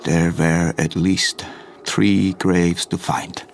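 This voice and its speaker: A solemn older foreign professor in a gothic radio drama. His voice is very low and creaky, swinging expressively as he halts through stilted, deliberate phrases. Clear noise sits behind him. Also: level tone, heavily acted. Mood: haunted, solemn. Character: grave older male professor vampire hunter, foreign. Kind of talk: dramatic first-person audio drama narration.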